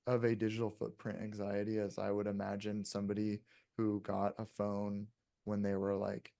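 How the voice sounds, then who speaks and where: A man's voice: on the low side, monotone, normal speed, vocal fry, mellow, dry recording, clean studio sound. A man in his late twenties chatting casually as a podcast guest, reflective and hedging, swearing freely.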